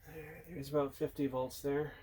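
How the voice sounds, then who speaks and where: Low-key man thinking aloud while tinkering. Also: steady tone, normal speed, warm tone, slight background noise. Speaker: hobbyist host showing a radio repair. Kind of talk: casual unscripted hands-on demonstration. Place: indoor workbench. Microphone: handheld or tripod camera mic.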